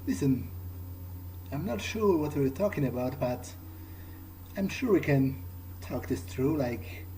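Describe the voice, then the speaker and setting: A man's voice: on the high side, expressive, takes it slowly, mellow, a little hiss or hum, low-quality recording. A man doing in-character commentary on a video game playthrough, thinking aloud in short, hesitant phrases. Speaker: gamer playing in character. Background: game music.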